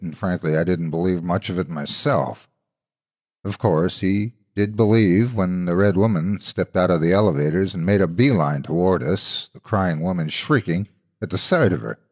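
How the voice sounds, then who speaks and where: A man's voice: low-pitched, lively intonation, normal speed, darkish tone. A man working as a horror story narrator, reading a scripted tale in a dramatic style.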